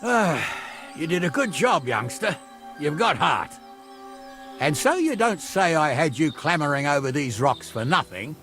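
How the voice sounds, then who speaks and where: An actor playing a gruff but kindly older medieval captain in a video game, with a gravelly voice. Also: male voice, a little high, sing-song, unhurried, fairly bright tone, soft background music. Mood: gruffly warm. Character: gruff older male medieval captain, hearty and begrudgingly kind. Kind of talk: video game drama acting.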